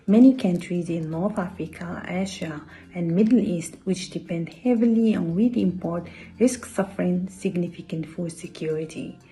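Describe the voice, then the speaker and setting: A woman with a Moroccan accent delivers a prepared researcher's speech. Her tone is mellow and a touch low, over faint background music. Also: poor audio. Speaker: postdoctoral researcher accepting an award.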